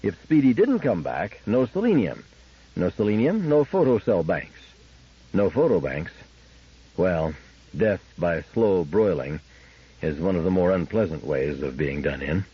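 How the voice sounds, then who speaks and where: A male audiobook narrator delivers dramatic scripted fiction in a lowish, husky voice. His pitch moves widely as he tells the story. The recording sounds somewhat dull. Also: sing-song, a little slow.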